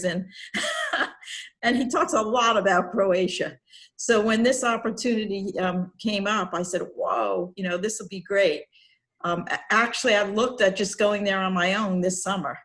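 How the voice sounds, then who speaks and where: A woman's voice: medium pitch, fairly bright tone. A middle-aged woman professor speaking in an upbeat, chatty way at an info session. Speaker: professor and program co-leader. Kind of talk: casual talk at an info session.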